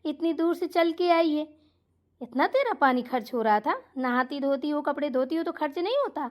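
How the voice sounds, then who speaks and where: A woman's voice: high voice, expressive, moderate pace. A woman voicing a bossy mother-in-law who dotes on her daughter and scolds her daughter-in-law in a family comedy cartoon. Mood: scolding, indignant. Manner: scolding, indignant, rhetorical questions.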